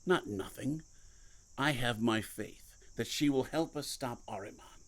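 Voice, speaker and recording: A man's voice: medium pitch, a little slow, lightly acted. A man playing a solemn believer who guides a younger man against evil in an audio drama, speaking insistently and portentously.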